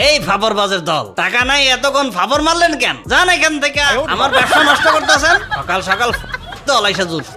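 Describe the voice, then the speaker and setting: A man's voice: very high voice, sing-song, brisk, pushed and bright, some chatter behind, full character voice. A man voicing a brash village market watermelon seller in a cartoon, hawking and curt, with a Bangladeshi accent. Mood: irritated. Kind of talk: Bengali cartoon voice-over. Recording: music behind the voice.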